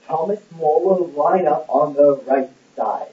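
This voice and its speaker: A man playing a smooth, cold, scheming royal secretary in an amateur puppet-show play, speaking in a measured, formal way. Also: a little high, lightly acted. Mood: insistent, measured. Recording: roomy, muffled, distant lo-fi recording.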